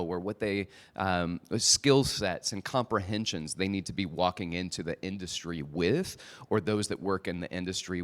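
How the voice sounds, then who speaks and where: Man, very low voice, live podcast host, conference hall, handheld mic. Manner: energetic, chatty presenter style with quick asides. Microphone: handheld microphone.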